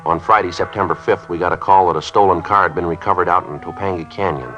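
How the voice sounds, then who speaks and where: A stoic American police sergeant with a low voice, speaking in terse, procedural phrases. The style is fifties radio acting, on a dull vintage recording with prominent music. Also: male voice, fast talker. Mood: flatly factual. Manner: deadpan, terse, matter-of-fact. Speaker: lead actor and narrator of a police drama. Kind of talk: 1950s radio acting, clipped procedural narration.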